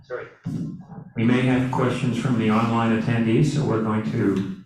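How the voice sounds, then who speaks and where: A man moderating a public meeting, speaking in a measured way with pauses. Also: mid-range voice, flat delivery, darkish tone, faint music behind. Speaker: event moderator. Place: meeting room with audience. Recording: echoey room, from far off.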